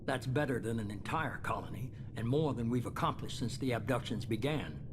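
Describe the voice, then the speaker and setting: A man playing a composed, calculating mastermind with a measured, authoritative manner in a video game drama. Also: medium pitch, lively intonation, noisy background, lightly acted. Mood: cool, approving. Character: calculating, composed male mastermind of shadowy organization.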